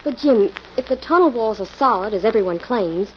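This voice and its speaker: A woman's voice: on the high side, lively intonation, a little fast, close to an everyday voice. An actress playing a concerned, inquisitive female assistant in a golden-age radio mystery. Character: earnest, attentive female assistant to the hero. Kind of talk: 1940s radio acting. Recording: muffled, noisy old radio recording.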